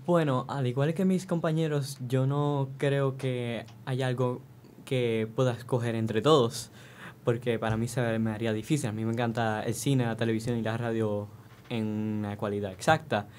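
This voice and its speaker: A young man, answering interview questions in a thoughtful, halting way. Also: mid-range voice, fairly even intonation, darkish tone, a little hiss or hum. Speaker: student actor, interview guest.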